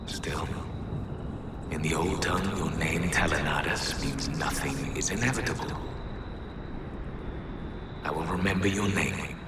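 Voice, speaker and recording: A grave, weary elven warrior in a game monologue. His voice is extremely low and echoes from a little way off. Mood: sorrowful tenderness. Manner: grave, measured, tender. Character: solemn elven warrior leader of a past age, weary and devoted. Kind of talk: dramatic video game monologue. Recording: roomy, distant, noisy game cutscene audio.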